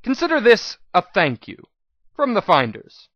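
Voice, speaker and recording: A man voicing a shrewd, cheerful female pony shop manager in a dramatic audiobook reading, bright and businesslike. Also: high voice, wildly swooping pitch, a little slow, no room sound, highs cut off, pitched above the performer's own voice, heavily acted.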